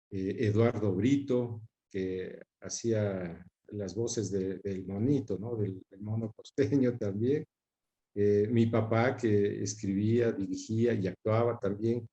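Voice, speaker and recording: Ecuadorian male interviewee, relaxed dark timbre, hesitant, reflective recollection. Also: medium pitch. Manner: hesitant, searching for names. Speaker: interview guest recalling his father. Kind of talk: reminiscing in an interview.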